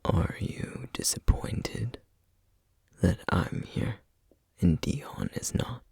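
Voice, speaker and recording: A man playing a solemn, weary, duty-bound flame demon in an ASMR roleplay, whispering breathily and haltingly. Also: low voice, huge pitch swings, darkish tone, no echo, full character voice. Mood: quiet, hesitant. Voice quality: breathy, husky whisper with gravelly edges. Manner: slow, halting, measured delivery with heavy pauses. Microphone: close microphone, dry sound.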